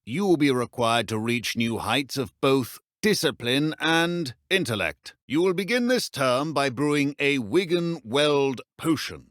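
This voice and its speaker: An actor playing a stern, dry-witted middle-aged British potions professor in a video game, speaking in a measured, exacting, deadpan manner. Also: male voice, fairly high pitch, no room sound. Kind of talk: scripted game dialogue.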